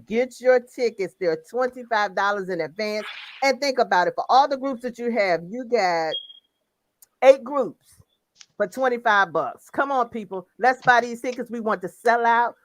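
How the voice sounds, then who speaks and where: A woman's voice: fairly high pitch, a little hiss or hum. A middle-aged woman hosting a gospel radio chat, emphatic and repeating herself for effect. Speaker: radio show host.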